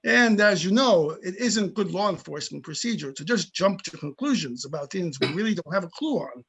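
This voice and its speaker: A man playing a tough but amicable older police chief in an amateur readers theater, plainspoken and opinionated, with a husky voice. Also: high-pitched, expressive, fairly quick, noisy background. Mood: matter-of-fact. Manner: plainspoken, opinionated, small-town storytelling. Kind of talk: amateur readers theater reading over videoconference.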